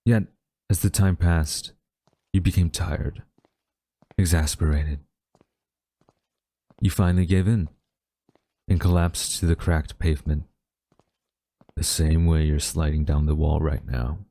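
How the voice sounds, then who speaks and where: A man playing a haughty, wistful government agent in a dramatic audio roleplay, speaking in a smug, deliberate, theatrical way to a captured former friend. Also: a bass voice, fairly even intonation, warm tone, no echo, heavily acted. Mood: menacing, deliberate.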